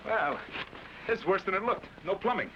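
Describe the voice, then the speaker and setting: An actor playing a hunted outlaw leader in an old radio drama, with a brisk, commanding manner. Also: male voice, high-pitched, lively intonation. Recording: muffled old radio broadcast with hiss.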